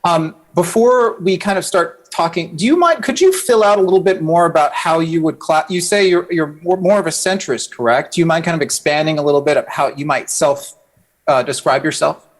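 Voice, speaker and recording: A male podcast co-host asking his guest questions politely and in a friendly way. His voice sits a bit higher than most men's. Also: a little fast. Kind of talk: casual podcast interview.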